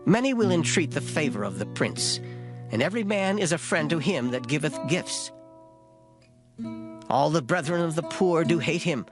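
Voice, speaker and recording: A man giving a dramatized Bible reading in a solemn, measured, declamatory manner. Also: fairly high pitch, expressive. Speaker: scripture reader. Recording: plucked guitar music behind voice. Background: plucked string music.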